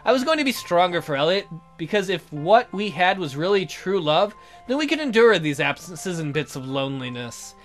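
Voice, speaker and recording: Male game host, very high voice, theatrical reader of romance narration, music underneath. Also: big pitch swings, moderate pace. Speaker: gaming video host. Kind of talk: reading visual novel narration aloud.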